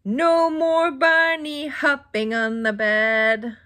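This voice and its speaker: Woman playing a slow, emphatic, stern doctor cat. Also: high voice, steady tone, heavily acted. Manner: loud, slow, emphatic commanding.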